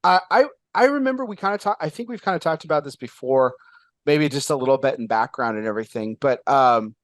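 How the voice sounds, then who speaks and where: A man hosting a casual interview show, talking in an excitable, rambling way with lots of fillers and restarts. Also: medium pitch, brisk, no echo, studio quality. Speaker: podcast host.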